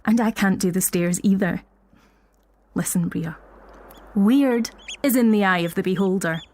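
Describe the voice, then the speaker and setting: A woman's voice: mid-range voice, unhurried, a little mellow, slight background noise, natural voice. A woman acting in an audio drama as a wry, supportive teacher, frank and dry-humoured, with a Scottish accent. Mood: gentle, wry.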